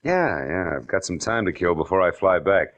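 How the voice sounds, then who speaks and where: A man's voice: low voice, sing-song, moderate pace, a bit bright. An actor playing a wisecracking, hard-boiled private detective who narrates his own case in a 1940s radio drama, with dry, sardonic delivery. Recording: radio drama with music underneath.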